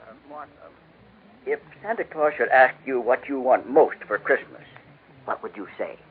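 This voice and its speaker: A man's voice: mid-range voice, moderate pace, lightly acted. An American actor playing a genial, decisive middle-aged father in 1940s radio acting style. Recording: distant, tinny 1940s radio recording.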